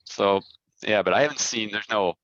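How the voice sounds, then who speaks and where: Man, sharp lowish voice, halting and repetitive, informal business talk, dry dull call audio. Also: no echo. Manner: halting, repeats and rephrases words. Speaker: company head answering investor questions. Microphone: conference call.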